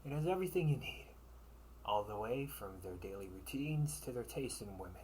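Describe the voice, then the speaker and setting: A man playing a smooth, scheming older brother who brokers information for a crime syndicate, teasing and theatrical in an audio roleplay. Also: medium pitch, expressive, darkish tone, slight background noise, close to an everyday voice. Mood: satisfied, smug. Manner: silky, teasing, unhurried, theatrical.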